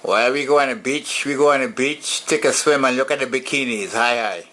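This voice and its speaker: A man's voice: medium pitch, fairly quick. A man making easygoing, casual small talk as an amateur operator over two-way radio, using operator jargon. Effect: two-way radio transmission. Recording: radio transmission with background static.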